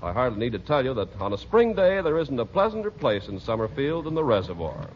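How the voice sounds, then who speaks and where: A man's voice: medium pitch, big pitch swings, fast. A man announcing and narrating in polished, emphatic 1940s radio style, reading scripted sponsor copy and story links. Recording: muffled, noisy 1940s radio recording.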